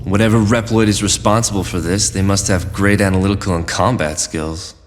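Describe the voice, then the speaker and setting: A low-voiced, seasoned android fighter acted by a man, terse and analytical, in an anime dub. The voice rings in a big reverberant space with clear soundtrack music behind it. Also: steady tone, fairly quick, close to an everyday voice. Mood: grim, suspicious. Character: cool, seasoned male android combat hunter. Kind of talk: English anime dub acting.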